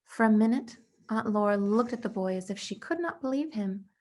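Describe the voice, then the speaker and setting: A woman's voice: mid-range voice, lively intonation, a little fast, a little mellow. A woman reading a storybook narration aloud as the storyteller. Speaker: story reader.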